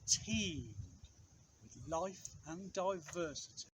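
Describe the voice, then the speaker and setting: A man reading a children's picture book aloud in an expressive way, with measured, dramatic phrasing and pauses for effect. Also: a little high, husky. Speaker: storybook reader, outdoor teacher.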